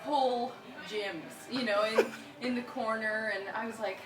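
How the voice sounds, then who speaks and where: A woman's voice: fairly high pitch, fairly even intonation, normal speed, echoey room, recorded from a distance, poor audio. Giggly young woman telling a story. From Michigan. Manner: giggly, chatty, lots of fillers and laughter. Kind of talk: casual personal storytelling.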